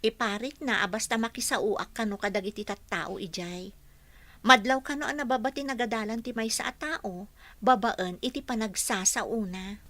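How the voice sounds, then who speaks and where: A woman voices a young housekeeper who answers politely and respectfully in a radio drama. Her voice is bright and a little high. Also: moderate pace, dry recording. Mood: earnest. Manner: polite, deferential.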